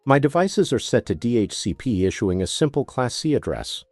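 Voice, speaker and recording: A man's voice: medium pitch, sing-song, normal speed, darkish tone, no room sound, soft background music. A man narrating a scripted product tutorial as a demonstrator, giving methodical step-by-step instructions.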